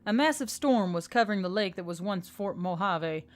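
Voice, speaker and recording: A woman's voice: mid-range voice, expressive, moderate pace. A woman reading a scripted fantasy story as an audiobook narrator. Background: music.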